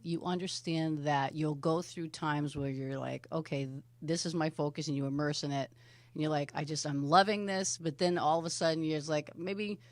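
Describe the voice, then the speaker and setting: A woman's voice: deep voice, fairly even intonation, moderate pace, dry recording. A middle-aged woman hosting an interview show, chatty with rambling lead-ins. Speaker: host.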